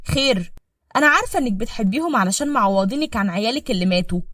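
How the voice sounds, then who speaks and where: A woman's voice: on the high side, expressive, racing, a bit bright, no room sound, studio quality, voice pushed up. A woman voicing a sly, scheming Egyptian second wife. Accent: Egyptian Arabic. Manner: sly, taunting.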